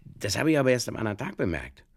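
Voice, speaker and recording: A man playing an earnestly muddled Bavarian fishkeeper in a halting, circuitous comic radio monologue, with a Bavarian accent. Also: mid-range voice, very animated, slightly rough, dry recording, studio quality. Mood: earnestly explaining. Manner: halting, circuitous, literal-minded, over-explaining. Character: rambling, earnestly muddled Bavarian fishkeeper telling absurd tales.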